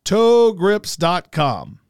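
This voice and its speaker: A hoarse man hosting a casual talk show, rambling in a loose, profane way and doing live ad reads. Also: sits high, huge pitch swings, drawn-out words, no room sound, clean studio sound. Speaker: radio host. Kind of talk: casual podcast chat and live ad read.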